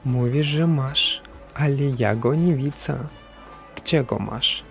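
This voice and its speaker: A man playing a stern, solemn Christ in a religious drama, deliberate and questioning like a preacher. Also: medium pitch, creaky, soft background music. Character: stern, solemn Christ speaking from a broken figurine. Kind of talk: dramatic religious stage-play acting.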